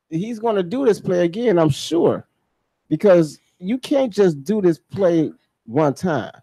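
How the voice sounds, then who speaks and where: A man's voice: a little high, mellow, no echo, rough recording. An American man hosting a casual radio chat, affirming and often repeating short phrases. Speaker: radio host.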